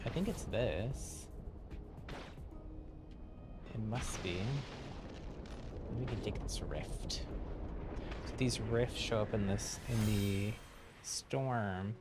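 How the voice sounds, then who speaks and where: A man's voice: on the low side, animated, slow, pauses often. A man chatting casually and in a friendly, welcoming way to viewers while streaming a game. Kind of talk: casual live gaming chat and commentary. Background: game music.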